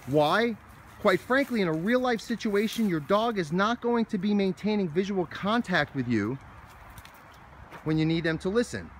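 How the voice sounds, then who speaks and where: American dog trainer talking on a trail. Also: male voice, high-pitched, animated, normal speed, a little hiss or hum. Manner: explanatory, calls commands to his dog. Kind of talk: outdoor training demonstration. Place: outdoor hiking trail.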